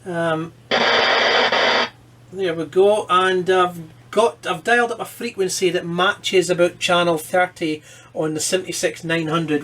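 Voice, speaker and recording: A man's voice: on the high side, a bit bright. A man presenting a hobby electronics repair in his workshop, talking informally and thinking aloud as he works. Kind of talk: casual hands-on demonstration commentary. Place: workshop, at a workbench.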